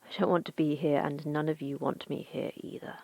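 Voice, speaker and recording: A weary security officer in a sci-fi drama, blunt and guarded. Her voice is on the low side and full of creak, recorded very dry. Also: fairly even intonation, brisk, mellow, dry recording. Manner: terse, withdrawn. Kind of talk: audio drama acting.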